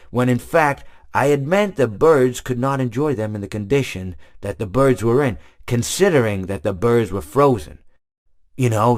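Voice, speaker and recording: An actor playing a friendly, long-winded animatronic hippo who rambles through meandering stories, full of restarts. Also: male voice, lowish voice, natural voice.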